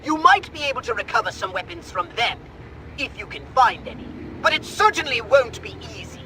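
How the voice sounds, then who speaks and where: A man playing a pompous, high-strung alien mastermind in a video game cutscene, theatrical and wordy. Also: big pitch swings, a little fast, bright, forceful tone, slight background noise, exaggerated voice, low-quality recording. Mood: smug, explanatory.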